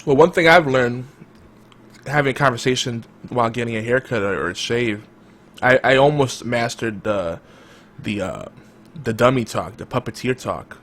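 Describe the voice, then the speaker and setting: An energetic male podcast host chatting casually with his co-host. His voice sits at an ordinary pitch, and he keeps the talk loud and lively. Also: moderate pace. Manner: energetic, self-described loud and hype. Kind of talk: casual chat.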